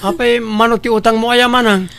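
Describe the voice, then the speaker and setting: An actor playing an obliging younger brother in an Ilocano radio drama. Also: male voice, very high-pitched, fairly even intonation, a little fast, gravelly, fairly bright tone, full character voice. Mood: concerned. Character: obliging younger brother working away from home.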